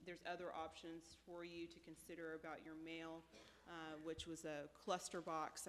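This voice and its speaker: A low-pitched woman talks through options for residents, stopping often with ums and restarts. Her voice carries a long reverb from the council hall, with faint noise behind it. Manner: hesitant, many fillers, says she has a light voice. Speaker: city staff member presenting options. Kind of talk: meeting presentation. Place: council meeting room.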